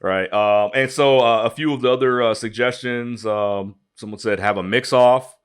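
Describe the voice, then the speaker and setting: A man's voice: mid-range voice, normal speed, clean tone. Rambling man co-hosting a casual chat. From Detroit, USA. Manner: rambling, many restarts and fillers. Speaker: podcast co-host.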